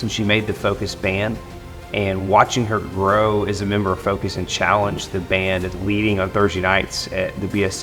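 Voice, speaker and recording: A man with a lowish voice talks about a student he mentored, relaxed and admiring, with some fillers. Faint music runs under him. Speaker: campus ministry leader. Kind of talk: tribute video interview.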